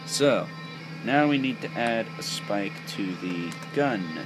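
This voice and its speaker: A man's voice: lowish voice, expressive, slow, over music. A young man giving a casual, off-the-cuff build tutorial in a rambling, jokey, self-deprecating way. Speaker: toy build video host.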